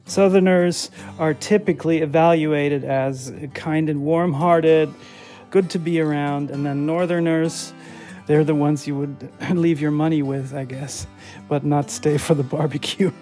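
A woman's voice: deep voice, fairly even intonation, measured pace, dark tone, faint music behind. A woman with a Texan accent, working as a sound designer, making wry, breezy casual comments. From Texas.

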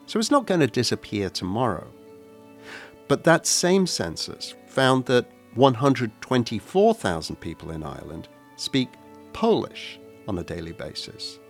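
A man hosting a radio documentary, narrating in a measured, reflective way and posing questions. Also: medium pitch, huge pitch swings, soft background music. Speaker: radio host.

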